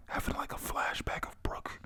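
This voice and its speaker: A breathy, whispered voice reading a comic chapter aloud and recapping it, halting over lines and adding casual, sweary, excitable asides. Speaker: narrator recapping a manga story.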